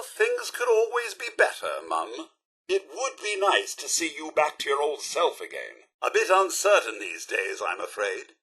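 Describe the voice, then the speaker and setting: A man's voice: high-pitched, sharp tone, slightly rough, full character voice. A man voicing a fussy, devoted robot butler in a video game, speaking with a British accent in a prim, formal, theatrical way. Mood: wistful, disappointed.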